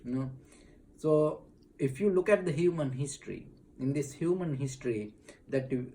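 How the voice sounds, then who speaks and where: A man, a Buddhist monk being interviewed in a temple, explaining slowly with many fillers and restarts. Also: medium pitch, warm tone, a little hiss or hum. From Sri Lanka.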